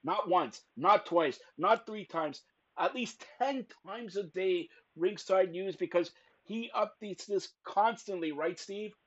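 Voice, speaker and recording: Loud teasing podcast host, lo-fi. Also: male voice, high voice, slow pace. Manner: loud, teasing, rambling with many fillers. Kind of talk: loud joking wrestling podcast chat.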